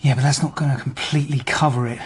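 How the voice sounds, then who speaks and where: An actor playing a weary, methodical middle-aged police detective, slightly husky, measured and understated, in naturalistic radio drama style. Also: male voice, mid-range voice, flat delivery, slight background noise, close to an everyday voice. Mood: matter-of-fact, planning. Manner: measured, matter-of-fact, understated.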